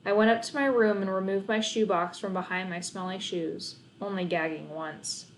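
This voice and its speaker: A woman reads a children's book aloud. Her voice sits at an average pitch, and she narrates expressively, in the manner of a storybook read-aloud. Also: steady tone, moderate pace, a little hiss or hum, rough recording.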